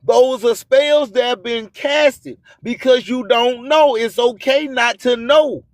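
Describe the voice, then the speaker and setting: A man's voice: extremely high pitch, slow pace, no room sound, studio quality. A man hosting an internet radio show, delivering a motivational spoken-word monologue in a preachy manner full of repeated, rhyming catchphrases.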